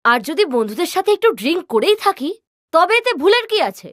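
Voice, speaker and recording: Woman acting a brash, vain young big sister, high-pitched. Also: big pitch swings, quick pace, fairly bright tone, dry recording, clean studio sound, heavily acted. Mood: scornful, boastful. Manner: dismissive, bossy, slangy with English words. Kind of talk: cartoon character dialogue.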